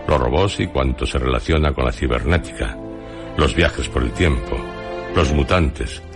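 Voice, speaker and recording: Very deep-voiced Spanish radio narrator, male. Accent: Castilian Spanish. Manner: measured, formal, literary. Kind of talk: scripted documentary narration.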